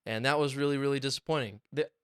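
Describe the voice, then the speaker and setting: A young man co-hosting a podcast, talking excitedly and swearing a lot as he rambles through stories about mixing music in his small home studio. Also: medium pitch, quick pace, smooth, dry recording. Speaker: podcast co-host and music producer. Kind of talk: casual chat.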